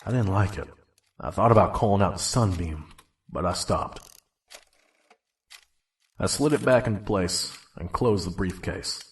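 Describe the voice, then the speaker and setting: Low-voiced pony trader, played by a man: pragmatic, jaded, gruff, brooding audio drama storyteller. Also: unhurried, long pauses, far from the mic. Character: world-weary, pragmatic pony junk peddler. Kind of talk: audio drama dialogue and first-person narration.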